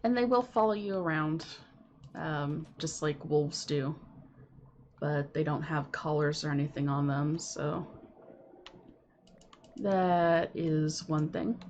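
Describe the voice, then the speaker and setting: A woman's voice: sits low, slow, long pauses, a little mellow, a little hiss or hum. A woman with a cold, sounding sniffly and nasal, chatting casually and apologetically through a game stream from home. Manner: chatty, apologetic, with frequent ums. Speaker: Minecraft streamer. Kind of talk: casual gameplay stream chat. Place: home, with keyboard and computer sounds.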